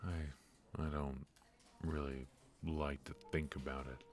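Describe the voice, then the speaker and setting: An extremely low, unhurried male voice for a brooding mafia defector, acted by a man in a noir ASMR roleplay. He is ruthless yet remorseful, confiding in halting, intimate phrases over faint background music. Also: little pitch movement, a little mellow. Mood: regretful, somber. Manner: halting, hesitant, confiding delivery.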